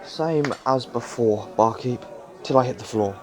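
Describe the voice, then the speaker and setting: A man's voice: medium pitch, unhurried, natural voice. A man acting a downcast, hard-drinking working man in a comic radio play. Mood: morose.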